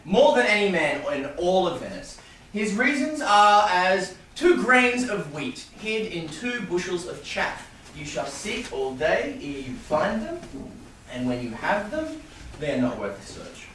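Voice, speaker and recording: A man playing a sociable, wry Venetian gentleman in a staged reading of Shakespearean verse. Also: high voice, sing-song, a little slow, exaggerated voice. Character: sociable, wryly critical Venetian gentleman, friend of the merchant. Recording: very echoey room, distant mic, faint noise.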